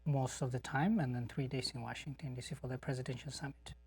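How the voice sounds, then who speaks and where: A man from Ethiopia, a professor and studio interview guest, speaking in a measured way with many fillers. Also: medium pitch, steady tone, a little mellow, husky, dry recording.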